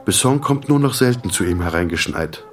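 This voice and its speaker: A man narrating a radio drama in a sober, measured, detached manner, in short sentences. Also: deep voice, expressive, recorded from a distance, faint music behind. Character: sober, detached male storyteller of a hospital tale.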